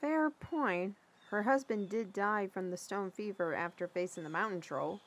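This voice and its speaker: A woman playing a plain-spoken mentor in a fantasy audio drama, telling things in a folksy, measured way with dramatic emphasis. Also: medium pitch, natural voice. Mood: reflective. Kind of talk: fantasy audio roleplay, storytelling.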